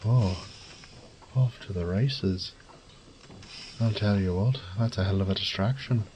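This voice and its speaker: A man playing a wry, resourceful thief in an audio drama, muttering gruffly and cursing to himself. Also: on the low side, unhurried, darkish tone, slight background noise, lightly acted. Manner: gruff, sardonic, swearing under his breath. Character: wry, foul-mouthed adult male thief, resourceful under pressure.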